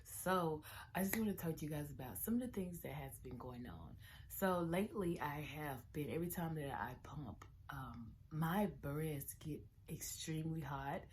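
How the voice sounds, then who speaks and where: A young adult woman vlogging casually at home with a hoarse morning voice, rambling with restarts and sometimes dropping into near-whispers. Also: low-pitched, lively intonation, moderate pace, slight background noise. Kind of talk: casual chat to camera.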